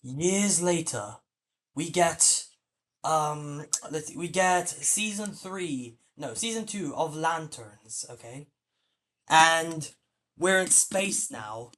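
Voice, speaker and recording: A man's voice: fairly high pitch, expressive, slow pace, fairly bright tone. A man hosting a casual film-talk podcast, rambling with lots of fillers and restarts. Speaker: podcast host.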